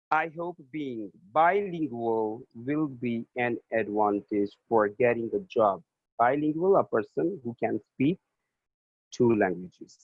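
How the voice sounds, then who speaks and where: Slow, spelling-out male teacher, tinny sound. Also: mid-range voice, dry recording. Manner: repeats and spells out each answer. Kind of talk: slow remote class lesson, spelling words aloud.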